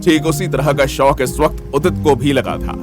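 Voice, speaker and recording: A man's voice: fairly high pitch, moderate pace. A man narrating a scripted audio story in a dramatic storytelling style. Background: background music.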